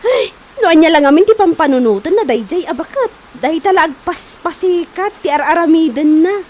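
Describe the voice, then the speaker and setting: A woman's voice: very high voice, expressive, brisk, darkish tone. A woman acting an exasperated, chiding woman of the household in an Ilocano comedy drama, nagging with an Ilocano accent.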